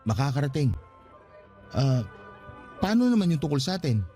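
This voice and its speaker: A man's voice: fairly high pitch, sing-song, moderate pace. An earnest, anxious young father. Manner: earnest, conversational, questioning. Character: earnest, anxious young father proposing to his estranged partner. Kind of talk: Tagalog radio drama acting. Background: music bed.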